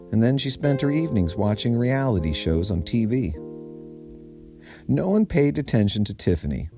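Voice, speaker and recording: A man reading a story aloud as a storyteller, with deliberate, dramatic phrasing and emphasis. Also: mid-range voice, darkish tone. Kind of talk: expressive storybook narration.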